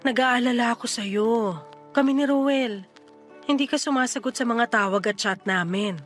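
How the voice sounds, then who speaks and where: An actress voices a patient, worried young woman in a Filipino radio drama. Her Filipino-accented voice is on the high side, earnest and reassuring as a loyal friend. Light music plays underneath. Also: moderate pace, lightly acted. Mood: worried, probing. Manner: earnest, reassuring, gently insistent. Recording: music behind the voice.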